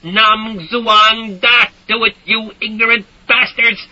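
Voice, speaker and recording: A man voicing a volatile, bullying young male soldier who shouts orders in a shrill, husky voice. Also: level tone, slow pace, highs cut off, full character voice. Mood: barking orders.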